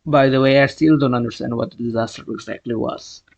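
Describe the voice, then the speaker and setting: A man's voice: mid-range voice, fairly even intonation, fairly quick, vocal fry, darkish tone, soft background music. A man giving casual reaction commentary as a video host, in short, repeated exclamations. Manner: exclamatory, repetitive short interjections.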